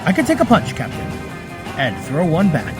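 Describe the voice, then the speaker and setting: Defiant android hero, animated. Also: male voice, a little high, normal speed. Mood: determined. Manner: dramatic, defiant tough talk. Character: heroic young male android flame superhero from Brooklyn, defiant. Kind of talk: audio drama acting. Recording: loud music behind.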